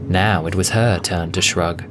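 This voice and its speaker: A man's voice: low-pitched, fairly even intonation, a little slow, noisy background. A man narrating a scripted story as the narrator of an audio drama. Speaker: narrator, voice actor. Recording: music bed under voice. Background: music.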